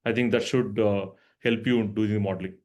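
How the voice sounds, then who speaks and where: A man's voice: lowish voice, fairly even intonation, brisk, darkish tone. A man speaking as a company finance executive, explaining answers on an earnings call and often checking in with "right?".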